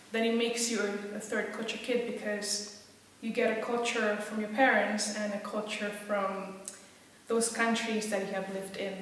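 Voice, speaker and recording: A woman from Indonesia who grew up in several countries, thinking aloud hesitantly as she answers in a reflective interview. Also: a little high. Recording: very echoey room, distant mic.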